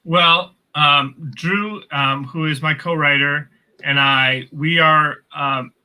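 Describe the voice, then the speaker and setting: A middle-aged man with a very bright, crisp-edged voice speaks as a guest writer. He explains things in a measured, casual conversational way. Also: on the high side, fairly even intonation, low-quality recording. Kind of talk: casual interview chat.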